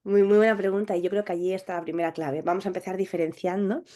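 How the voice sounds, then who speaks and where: A woman sexologist answering interview questions, explaining in a measured, thoughtful way with small restarts. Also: medium pitch, fairly even intonation, dark tone. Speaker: clinical sexologist guest.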